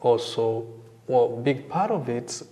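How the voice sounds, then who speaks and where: A middle-aged man with a Rwandan accent, giving reflective personal testimony in a halting, thoughtful way. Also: medium pitch, animated, warm tone. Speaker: interview guest sharing survivor testimony.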